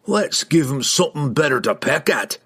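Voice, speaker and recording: A man voicing a gruff, defiant, quick-tempered dwarf warrior who growls and barks in rustic speech for a fantasy audiobook. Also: fairly high pitch, animated, a bit bright, pitched above the performer's own voice, heavily acted. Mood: scornful.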